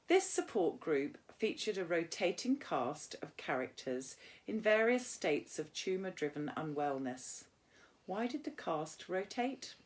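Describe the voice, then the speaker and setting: A British woman, an English teacher, reading a novel aloud and explaining it informally as she goes. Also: low voice.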